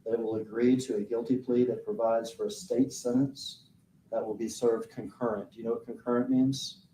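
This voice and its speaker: Man questioning slowly in a courtroom. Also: mid-range voice, flat delivery, warm tone, a little hiss or hum. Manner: slow, deliberate questioning. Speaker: prosecutor. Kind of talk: courtroom direct examination. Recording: roomy courtroom audio from far off.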